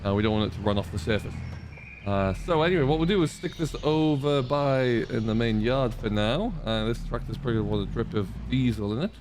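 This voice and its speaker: A man's voice: mid-range voice, animated, a little fast. Chatty man narrating farm gameplay over background noise. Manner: chatty, explanatory, thinking aloud. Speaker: gaming video host, playing a tenant farmer. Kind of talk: casual roleplay gameplay commentary on farming.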